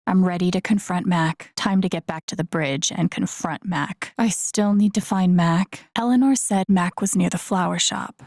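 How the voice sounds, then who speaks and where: Creaky-voiced, introspective young woman. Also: moderate pace, hardly any pauses, a little mellow, no room sound, clean studio sound. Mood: quietly determined. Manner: low-key, introspective, muttering to herself. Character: grieving, wry, determined young woman in a drama game. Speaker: video game voice actress. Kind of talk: naturalistic game character lines, inner-monologue asides.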